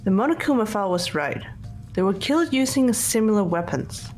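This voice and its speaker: A woman's voice: medium pitch, animated, brisk, a little mellow, soft background music, lightly acted. A woman voicing a composed, blunt teenage girl detective in an amateur visual novel dub, speaking in a controlled, matter-of-fact way.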